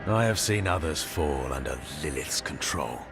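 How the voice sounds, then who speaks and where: An actor playing a stern, steadfast wandering hero in a video game, with measured, terse delivery. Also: male voice, extremely low pitch, animated, music in the background. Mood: grave, resolute. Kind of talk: dramatic video game acting.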